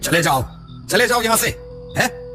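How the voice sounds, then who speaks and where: A man voicing a crazed ghost in an animated horror story, eerie and taunting, drawing out his words with cackles. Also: sits high, normal speed, over music, pitched above the performer's own voice. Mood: ominous warning.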